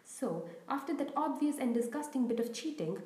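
Woman playing a cheeky young commentator, loud excited play-by-play, fairly high voice, echoey room. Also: moderate pace. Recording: roomy echo.

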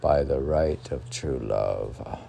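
A man hosting an informal poetry podcast, reading aloud haltingly and stumbling over words, with muttered asides. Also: very deep voice, creaky, mellow, faint music behind.

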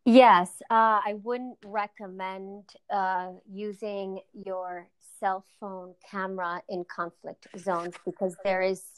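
A woman's voice: mid-range voice, little pitch movement, slow, hardly any pauses, clean tone, poor audio. A woman in her thirties, from the Czech Republic and raised in New York, talking casually as a guest over a remote call, friendly and full of fillers and restarts. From Czech Republic, raised in New York City. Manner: friendly, lots of fillers and restarts, laughs easily. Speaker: guest, co-founder of a media consultancy. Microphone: remote call audio.

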